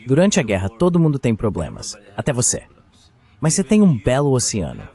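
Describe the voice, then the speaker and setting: A man's voice: medium pitch, wildly swooping pitch, a little fast, warm tone. A man giving a translated voice-over of a heated political exchange.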